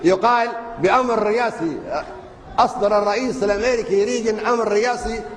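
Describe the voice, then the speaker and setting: A man's voice: high voice, racing. A man giving a fiery, rhetorical public speech to a crowd, piling up repeated phrases. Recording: reverberant hall with crowd and noise.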